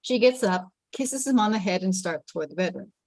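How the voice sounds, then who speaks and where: A woman's voice: mid-range voice, animated, fairly quick, darkish tone, no room sound. Dry-recorded woman narrating a script. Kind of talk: scripted narration of stage directions.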